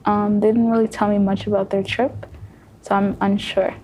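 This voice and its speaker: A young woman moderating a student panel, speaking hesitantly with many pauses. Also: medium pitch, little pitch movement, a little hiss or hum. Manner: soft, hesitant, many ums. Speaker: student moderator asking questions. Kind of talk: casual panel discussion.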